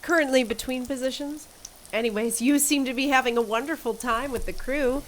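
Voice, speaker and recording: A woman's voice: high-pitched, fairly quick, lightly acted. A woman voicing a haughty young ship captain who talks in a huffy, prim way. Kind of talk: audio drama acting.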